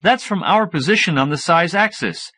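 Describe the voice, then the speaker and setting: A man voicing an excitable, smug mad scientist who lectures eagerly in an audiobook. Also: high voice, moderate pace, pitched above the performer's own voice, heavily acted. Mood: matter-of-fact explaining. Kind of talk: audiobook character dialogue.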